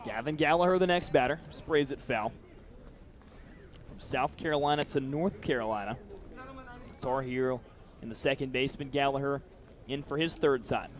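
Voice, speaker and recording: A man's voice: mid-range voice, normal speed, pauses often. A man doing live baseball play-by-play commentary as the announcer.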